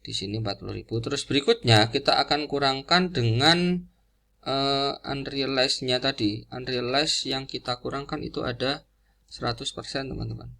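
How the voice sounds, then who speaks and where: A man giving an informal explanatory lecture in a didactic, halting way, repeating key phrases as he goes. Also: mid-range voice, vocal fry, bright, forceful tone. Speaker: accounting lecturer.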